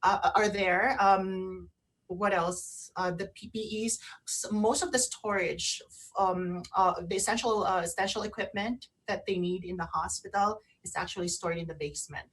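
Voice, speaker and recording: A woman co-hosting a casual radio chat, speaking haltingly and often repeating and rephrasing herself. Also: medium pitch, steady tone, a bit bright, rough recording. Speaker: radio show co-host. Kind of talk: casual talk show chat.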